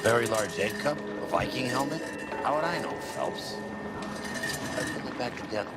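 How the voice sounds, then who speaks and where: Man acting a cynical American cop, gruff, blunt, widely swinging pitch, game dialogue under loud music. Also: expressive, normal speed, lightly acted. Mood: sarcastic. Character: brash, cynical, foul-mouthed adult male detective partner. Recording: music loud behind, gunfire and vehicles.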